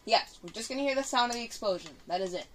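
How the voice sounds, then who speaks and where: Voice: medium pitch, expressive, fast. A female gaming host giving casual, improvised commentary in a bossy, teasing manner, slipping into play-acted bickering. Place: indoor room, filming a TV screen. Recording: noisy room recording with clicking.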